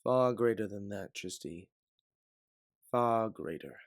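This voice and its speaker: Man voicing earnest, low-voiced loyal companion Aleph. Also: lively intonation, slow pace, dry recording, studio quality. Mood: devout, hopeful. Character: Aleph, devout, loyal, forgiving companion and resistance believer.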